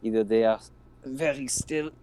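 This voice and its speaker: A man playing a tabletop game who chats casually and makes measured, wry remarks. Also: mid-range voice, dark tone. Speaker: player. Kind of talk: casual chat.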